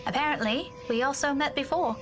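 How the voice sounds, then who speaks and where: A woman playing an earnest, dutiful young woman in a visual novel game, conversational and gently coaxing. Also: high voice, a little fast, natural voice. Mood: earnest, persuading. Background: game music.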